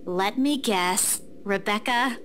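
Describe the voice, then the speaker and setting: A woman's voice: medium pitch, slow pace, noisy background, close to an everyday voice. A woman playing a kind, playful young woman in a visual novel game. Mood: playful teasing.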